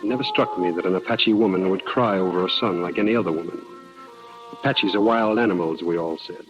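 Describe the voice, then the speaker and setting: An actor playing a plainspoken former soldier turned prospector, telling his story in measured, matter-of-fact radio drama style. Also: deep voice, lightly acted. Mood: measured, grave. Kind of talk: 1950s radio drama narration. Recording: dull old radio recording, loud music behind.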